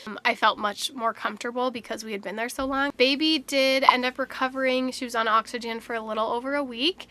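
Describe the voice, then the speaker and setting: A woman's voice: fairly high pitch, fairly even intonation, fairly quick, bright, forceful tone, a little hiss or hum. A woman, a mother of three, chatting candidly to camera in a casual vlog, slightly congested and nasal, laughing often.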